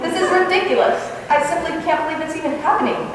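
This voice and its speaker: A woman's voice: very high-pitched, quick pace. Woman acting wry schoolteacher, distant echoey hall. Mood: indignant. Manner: wry, dry quips. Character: wry, quick-witted female schoolteacher, dryly sarcastic. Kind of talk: 1940s-style radio comedy acting. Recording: echoey hall from far off, faint noise.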